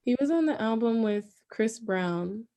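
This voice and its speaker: A woman's voice: on the high side, animated, unhurried, no room sound. A woman in her twenties chatting casually with friends on a livestream, laughing and swearing freely. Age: 20s. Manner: loud, laughing, swearing banter. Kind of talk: casual chat among friends.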